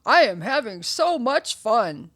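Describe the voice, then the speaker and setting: A woman acts out an eager, sociable dog from a storybook, keen to host. The voice is raised and very lively, bouncing along in an expressive, inviting way. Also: high voice, normal speed, pitched above the performer's own voice. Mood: gleeful. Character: eager, sociable male pet dog throwing a party.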